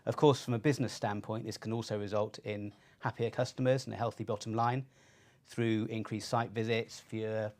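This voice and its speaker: Male research presenter, lowish pitch, semi-scripted, measured phrasing with pauses. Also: level tone, a little mellow.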